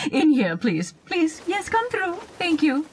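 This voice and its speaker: An actress playing a pompous, bossy older society hostess in a radio play, with a theatrical, affected, self-satisfied way of talking. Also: female voice, extremely high pitch, huge pitch swings, takes it slowly, a little hiss or hum, full character voice. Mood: excited, bossy. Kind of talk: old-time radio horror-comedy acting.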